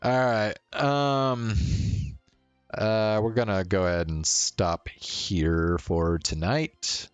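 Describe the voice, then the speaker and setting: Slow, deep-voiced man streaming. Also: fairly even intonation, clear, resonant tone, no echo, soft background music. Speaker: streamer reading a visual novel aloud. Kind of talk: narrated read-aloud and casual sign-off.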